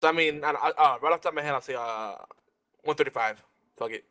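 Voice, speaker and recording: A man chatting casually as a game panelist, thinking out loud and often repeating his first words as he goes. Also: fairly high pitch, fast talker. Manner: informal, thinking aloud, stammered repeats. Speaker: panelist guessing in a trivia game. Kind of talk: casual chat.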